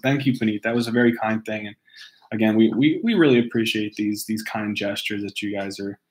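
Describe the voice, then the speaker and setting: Thirties male host from Hawaii, lowish voice, casual and easily distracted, laughs a lot, reads fan letters into a webcam at home. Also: a little fast, rough recording. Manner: relaxed, laughs often, easily distracted, frequent restarts. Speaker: host reading fan mail. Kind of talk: casual chat to camera. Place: room at home. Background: dogs barking at times.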